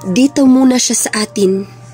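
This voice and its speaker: A woman's voice: fairly high pitch, a little slow, warm tone, faint music behind, close to an everyday voice. A woman playing a concerned, composed activist in an amateur radio drama, speaking formally and earnestly. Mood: sober, earnest. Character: concerned, composed activist comrade introducing a newcomer.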